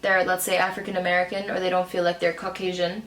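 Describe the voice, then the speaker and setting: A woman's voice: on the low side, flat delivery, fast, a bit bright, roomy sound. A young woman, a German native speaker raised abroad, chatting casually as a guest with many fillers and frequent laughs. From raised abroad in Cairo, Dubai and Shanghai. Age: young adult. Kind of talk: casual conversation.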